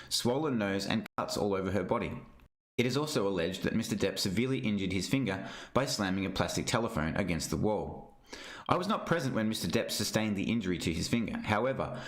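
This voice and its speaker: A man with a fairly low voice reads a formal document aloud. His delivery is measured and scripted, and the recording has a clearly roomy echo around the voice. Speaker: reader of a legal document. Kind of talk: formal read-aloud narration of a witness statement. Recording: roomy, echoing sound.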